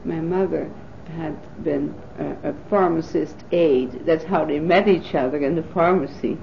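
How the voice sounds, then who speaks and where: A woman in her fifties from the Netherlands, a native Dutch speaker, recalling her life in an oral history interview with thoughtful pauses and fillers. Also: low-pitched, creaky, a little hiss or hum. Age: 50s. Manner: thoughtful, pausing with frequent fillers. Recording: dull lo-fi 1980s tape recording.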